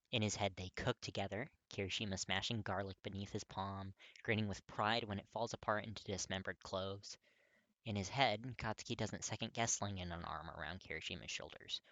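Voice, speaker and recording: Guy reading a story like an audiobook, lowish voice, real dry close recording. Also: pushed and bright, no room sound. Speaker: podfic narrator. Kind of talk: expressive audiobook narration.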